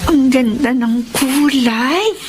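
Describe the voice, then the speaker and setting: A Filipino actress playing a friendly, excited young woman office employee in a radio drama. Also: high voice, slow, noisy background. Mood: delighted, proud.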